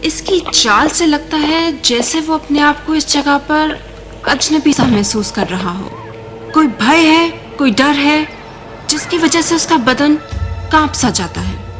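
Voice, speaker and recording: An actress playing a mysterious narrator of a radio play, theatrical and questioning, speaking straight to the listeners. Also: female voice, high voice, animated, slow pace, fairly bright tone, soft background music, heavily acted. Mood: suspenseful, inviting. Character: mysterious female narrator introducing a wretched stranger.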